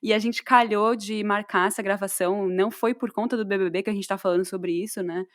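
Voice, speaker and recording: A woman with a São Paulo accent chats loosely on a podcast and thinks out loud with lots of fillers. Her voice sits at an ordinary middle pitch. The sound is dry and close, with no room around it. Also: fairly even intonation, a little fast, dry recording. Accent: São Paulo Portuguese. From São Paulo, Brazil. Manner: chatty, thinks aloud with many fillers. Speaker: podcast host. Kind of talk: casual podcast conversation.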